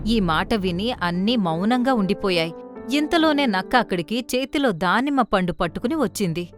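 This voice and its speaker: A woman's voice: medium pitch, animated, moderate pace, music in the background. A woman reading scripted narration for a children's story.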